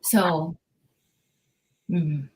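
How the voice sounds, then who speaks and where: Middle-aged woman from Japan, slow and soft-spoken, hesitant guest in a chat. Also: low-pitched, steady tone, rough recording. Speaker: interview guest. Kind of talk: casual interview talk.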